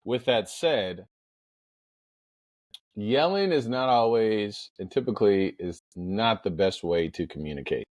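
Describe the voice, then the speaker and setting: A middle-aged man with a powerful voice, hosting a podcast in an emphatic, deliberate motivational monologue with storytelling. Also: lowish voice, expressive, a little mellow, no room sound. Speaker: podcast host.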